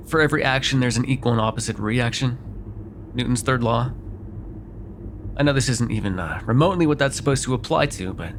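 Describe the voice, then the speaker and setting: A man's voice: medium pitch, lightly acted. Man acting a sardonic vampire over noisy car ambience. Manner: hesitant, wry, sweary, conversational, with sighs. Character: sardonic, grudgingly apologetic male vampire on a road trip. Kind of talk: immersive ASMR roleplay acting, one side of a dialogue.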